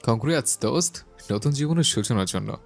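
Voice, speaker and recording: Shishir, a man playing a gravelly teasing husband. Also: medium pitch, a little fast, faint music behind. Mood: friendly. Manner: teasing, possessive, lively delivery. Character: teasing bossy young husband, domineering but loving. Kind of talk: audio story character acting.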